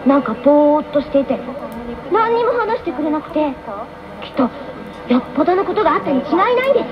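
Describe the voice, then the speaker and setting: A very high, lovestruck teenage girl. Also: animated, fast talker. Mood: worried. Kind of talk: 1990s radio drama acting. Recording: muffled AM radio broadcast, loud music behind.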